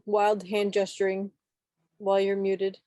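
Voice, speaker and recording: A woman's voice: medium pitch, completely flat delivery, a little slow, warm tone, dry recording, clean studio sound. A woman hosting a quiz at an online club meeting, chatting casually over a video call.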